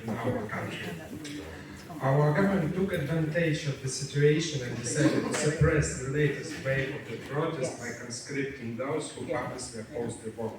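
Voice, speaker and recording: A man's voice: on the high side, normal speed. A man from Russia, reading out a prepared personal statement in a formal, careful way. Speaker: forum service user sharing his story. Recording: echoey hall, from far off, crowd noise.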